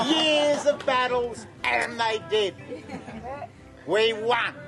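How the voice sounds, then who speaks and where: A woman speaking off the cuff, recounting events as an activist. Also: on the high side. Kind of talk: unscripted activist recollection. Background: prominent music.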